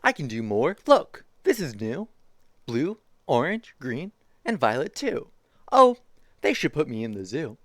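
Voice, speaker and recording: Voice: mid-range voice, very animated, unhurried, fairly bright tone, dry recording, studio quality. A streamer reading a bedtime storybook aloud, giving the spotted creature a slightly dopey voice and speaking his lines in a singsong, rhyming way. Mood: boastful.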